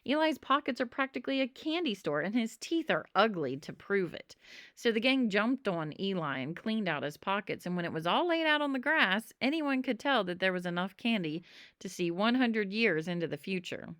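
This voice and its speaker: Animated woman reading a children's book. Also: medium pitch, moderate pace, no echo, studio quality. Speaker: storybook reader. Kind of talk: expressive children's book narration.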